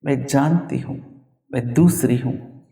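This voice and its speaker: A man reciting his own poetry in a dramatic, emotive style, with deliberate delivery. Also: medium pitch, gravelly, mellow. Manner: emotive, deliberate, with repeated refrains. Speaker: poet reciting own work. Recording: roomy echo, distant microphone.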